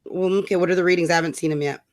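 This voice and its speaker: A woman co-host with a slightly low voice rants casually about politics. She sounds scornful and emphatic, and she curses freely as she makes her points. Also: steady tone, rapid-fire. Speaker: talk show co-host.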